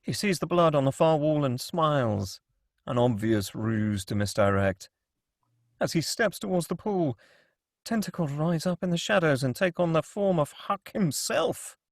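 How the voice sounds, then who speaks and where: Gravelly male narrator reading screenplay directions. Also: on the high side, expressive, unhurried, no room sound, studio quality. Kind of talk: screenplay table read narration, scripted.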